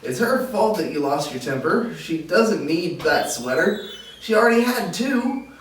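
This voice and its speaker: A man's voice: high voice, very animated, a little slow. A man playing a nervous but stubborn, contented husband in an amateur table read, with an insistent manner. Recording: distant mic, echoey room, faint noise.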